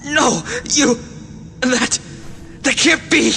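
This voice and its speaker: A man's voice: extremely high pitch, moderate pace, sharp tone, a little hiss or hum. An actor in an anime fan dub playing an arrogant young male villain, cornered and frantic. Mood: frantic denial.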